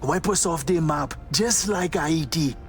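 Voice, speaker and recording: Slow-spoken, wary netrunner man. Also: fairly high pitch, soft background music. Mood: alarmed, grave. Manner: theatrical, clipped broken English, deliberate. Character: wary blind male netrunner, cynical, guarded, dramatic. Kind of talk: cinematic video game acting.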